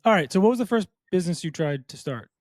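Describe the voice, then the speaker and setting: A man hosting a podcast, chatting in a quick, upbeat and friendly way with his guest. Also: high voice, lively intonation, no room sound, clean studio sound. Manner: fast, upbeat, friendly banter. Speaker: podcast host. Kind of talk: casual interview.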